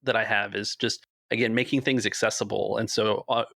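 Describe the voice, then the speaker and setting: A man's voice: fairly low pitch, steady tone, a little fast, creaky, bright, forceful tone, no room sound, studio quality. A man who runs a podcast game, rambling through his answer with restarts on a panel. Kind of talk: casual panel discussion.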